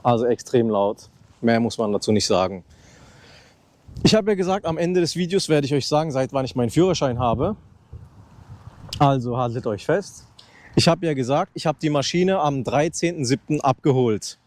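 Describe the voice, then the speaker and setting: A man's voice: mid-range voice, animated, normal speed, darkish tone. A man in his thirties, chatting casually outdoors on a handheld mic held close to his mouth, rambling and restarting his sentences. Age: 30s. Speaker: motorcycle vlogger. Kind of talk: casual unscripted vlog. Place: outdoors beside a loud motorcycle. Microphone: handheld mic raised close to the mouth.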